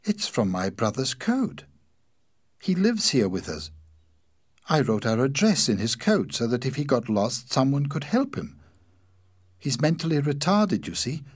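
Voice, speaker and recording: A man's voice: mid-range voice, big pitch swings, measured pace, pauses often, husky, voice pushed up. A man voicing a worried, confused married shopkeeper woman in an audiobook, nervous and full of questions. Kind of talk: audiobook character dialogue.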